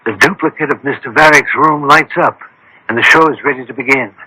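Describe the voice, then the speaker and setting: A man's voice: mid-range voice, a little fast, sharp tone, slightly rough, no echo, poor audio. An actor playing a brilliant, methodical scientist detective in a radio mystery, precise and commanding as he explains. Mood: explaining, assured. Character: brilliant, methodical male scientist detective called the thinking machine. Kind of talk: radio mystery drama acting.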